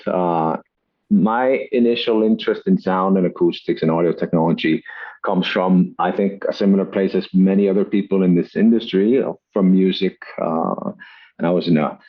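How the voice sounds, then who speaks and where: Deep husky man. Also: normal speed, highs cut off. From Iceland. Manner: relaxed, self-deprecating, frequent fillers. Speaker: guest, acoustics company head. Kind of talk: presentation in podcast conversation.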